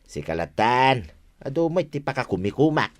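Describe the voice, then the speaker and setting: An easygoing working man of the fields , acted by a man in a comedy radio drama. He talks in a plain, agreeable, conversational way at a middling pitch. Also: sing-song, normal speed, lightly acted.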